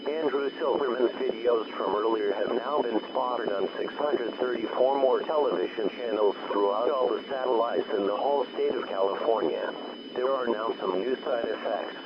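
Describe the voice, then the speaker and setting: A man's voice: medium pitch, moderate pace. Muffled male emergency alert announcer. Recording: muffled alert broadcast with loud noise.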